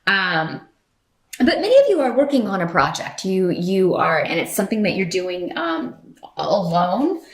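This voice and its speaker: A woman's voice: medium pitch, big pitch swings, normal speed. A woman giving an unscripted tarot reading to viewers in a conversational, rambling, reassuring way. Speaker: tarot reader and channel host. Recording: roomy echo.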